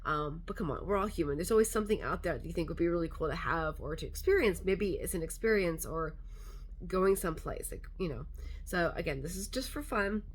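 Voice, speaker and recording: A woman's voice: on the low side, expressive, fairly quick, breathy edge. A woman vlogger chatting casually to camera, congested and a little nasal, rambling with lots of fillers.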